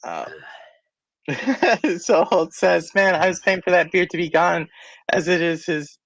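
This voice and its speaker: A woman's voice: fairly low pitch, slow, no echo. A woman hosting a live-stream talk show, chatting casually, talking to the viewers and laughing often. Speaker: podcast host.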